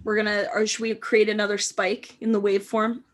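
A young woman co-hosting a podcast, chatting casually with jokey quick asides. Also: mid-range voice, monotone. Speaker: podcast co-host.

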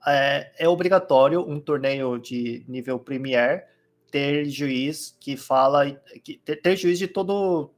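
A man chatting calmly on a podcast, explaining card game rules with many fillers. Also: a little high, slow. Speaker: card game player guest. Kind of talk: casual podcast chat.

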